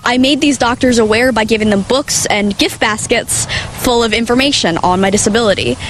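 A woman's voice: a little high, brisk, faint music behind, poor audio. A young woman, around her late teens, giving earnest interview answers for a news report. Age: teenager or young adult.

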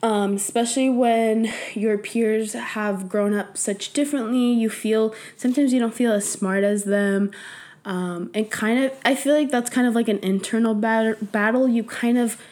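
A woman's voice: a little high, fairly even intonation, normal speed, darkish tone. Chatty young woman with Spanish roots. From Mexico. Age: young adult. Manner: chatty, laughing, many fillers. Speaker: podcast guest. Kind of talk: casual chat.

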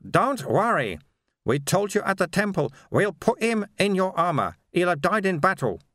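An assured male monk , acted by a male narrator in an audiobook. He is brisk, calm and matter-of-fact, with a lifted pitch and a dry, close sound. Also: dry recording. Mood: reassuring.

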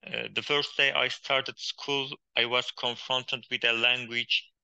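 A man from Turkey's far east, with as his in English, answering interview questions in a halting way with many pauses and fillers. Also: mid-range voice, flat delivery, gravelly, bright, forceful tone, smooth, dry recording. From Hakkari region of Turkey, Kurdish. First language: Kurdish. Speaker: guest, poet and journalist. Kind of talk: interview conversation.

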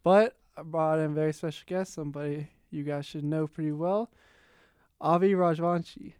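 A young man hosting a casual podcast conversation, chatty and quick to laugh. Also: a little high, fairly even intonation, darkish tone, smooth, no room sound, studio quality. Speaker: podcast host.

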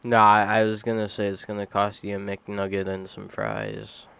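A young man chatting casually on a podcast while high, rambling and teasing his friends. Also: little pitch movement, moderate pace, vocal fry, fairly bright tone, smooth. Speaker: podcast co-host. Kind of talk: casual chat.

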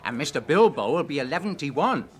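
A man's voice: on the high side, big pitch swings, a little fast, husky. An older man with a rural English accent playing a gossipy, loyal hobbit gardener in a radio drama, telling his news in a folksy, emphatic way. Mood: gossipy, pleased.

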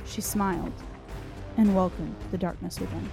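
A woman storyteller reading scripted horror narration dramatically, in deliberate short phrases. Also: on the low side, steady tone, creaky, over music.

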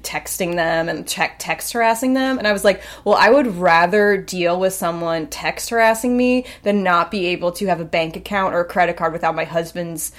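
A woman's voice: lowish voice, moderate pace, few pauses. A woman in her thirties from Long Island, co-hosting a casual podcast chat, blunt and sarcastic with free swearing. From Long Island, New York. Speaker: podcast co-host.

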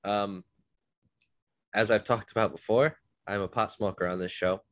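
Congested low-voiced man rambling casually. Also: fairly even intonation, normal speed, fairly bright tone, no room sound, studio quality. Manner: rambling, self-deprecating storyteller. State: congested, recovering from illness. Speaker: podcast host. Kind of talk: casual podcast chat.

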